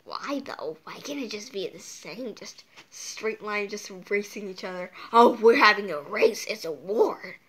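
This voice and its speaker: A woman's voice: medium pitch, animated, a little slow, hardly any pauses, fairly bright tone. A woman hosting a casual storytime read-aloud, drifting off into playful, whimsical asides as she goes.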